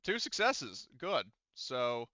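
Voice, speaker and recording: A man running a tabletop game as game master, explaining rules casually in a halting, self-correcting way. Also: medium pitch, huge pitch swings, sharp tone, dull audio, a little hiss or hum.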